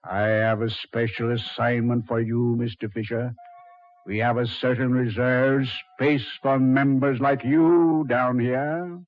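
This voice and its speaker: An actor playing a suave, mocking Devil in a measured, mock-polite 1940s radio horror style, on a muffled old recording. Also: male voice, medium pitch, steady tone, close to an everyday voice. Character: the Devil, suave, mocking, coldly taunting male master of hell.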